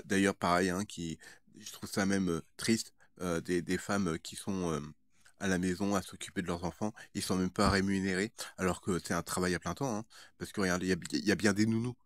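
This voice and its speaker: A man's voice: low voice, steady tone, a little fast, no echo, studio quality. A man talking casually to his viewers as a vlogger, in a rambling, hesitant way with frequent stammered repetitions of words. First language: French.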